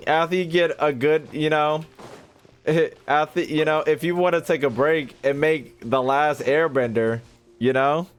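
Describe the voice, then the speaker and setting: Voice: medium pitch, slow, clean tone. A gaming commentator, reacting in casual slang with joking exclamations. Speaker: gaming video creator reacting to gameplay. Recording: game music behind the voice.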